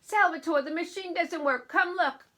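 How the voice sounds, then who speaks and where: A woman voicing excitable shopkeepers in a children's story. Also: high voice, a little fast, pitched above the performer's own voice. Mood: exasperated. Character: loud, excitable Italian shopkeeper couple, man and wife.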